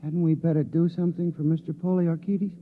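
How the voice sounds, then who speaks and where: A man's voice: fairly high pitch, flat delivery, fairly quick, lightly acted. An actor playing a meek, prissy little man with a thin, rustling voice in a 1950s radio drama. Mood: timid, anxious. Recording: noisy, muffled 1950s radio recording.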